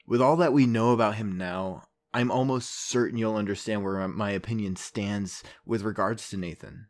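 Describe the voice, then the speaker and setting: An actor playing an earnest, troubled journalist, narrating reflectively with hesitant pauses like a podcast host. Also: male voice, lowish voice, lightly acted. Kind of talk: podcast-style narration in audio drama.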